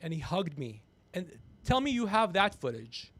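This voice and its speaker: A man hosting a livestream, rambling comedically with blunt, profane, teasing banter and a creaky voice. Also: high voice, big pitch swings, moderate pace, fairly bright tone. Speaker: podcast livestream host.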